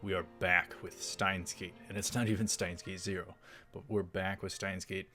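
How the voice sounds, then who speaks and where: A man with a low voice hosts a let's play in a casual style. He rambles and halts as he comments on the game. Faint music sits behind his voice. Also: level tone, moderate pace, bright, forceful tone.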